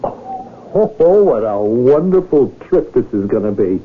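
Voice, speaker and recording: A dull-sounding 1970s radio drama recording. a lonely, earnest rich fellow with a husky, animated voice, nervous and hesitant like someone unused to command. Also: male voice, mid-range voice, measured pace. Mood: eager, awed. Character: lonely, naive, nervous rich man, would-be king.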